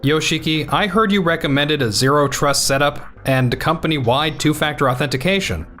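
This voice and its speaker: A man's voice: fairly high pitch, moderate pace, a bit bright, soft background music, close to an everyday voice. A man playing an earnest, principled office manager at a tech firm in an anime-style manga dub. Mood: businesslike.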